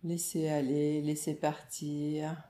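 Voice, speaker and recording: Woman, meditation teacher, soothing instructions, soft-toned mellow timbre, very measured pace. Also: low voice, a little hiss or hum. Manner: calm, soothing, instructional, pausing between short phrases. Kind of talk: guided yoga nidra relaxation narration. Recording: roomy, echoing voice recording.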